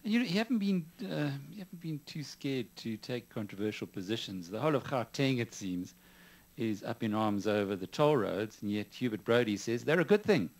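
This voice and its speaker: A man's voice: mid-range voice, expressive, normal speed. A man interviewing a business guest, asking probing questions with a wry, conversational touch.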